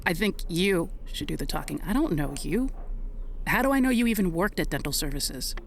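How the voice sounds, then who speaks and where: A wary, suspicious audio drama character played by an actor, questioning a stranger. The voice is creaky and swings widely in pitch, with faint ambience behind it. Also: lively intonation, a little fast, a little hiss or hum.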